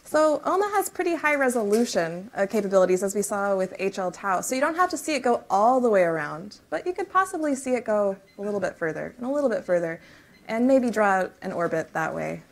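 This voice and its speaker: A woman's voice: medium pitch, big pitch swings. Woman giving a technical science talk. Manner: explains in measured technical steps with fillers. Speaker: research speaker. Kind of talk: conference talk and Q&A. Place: lecture room.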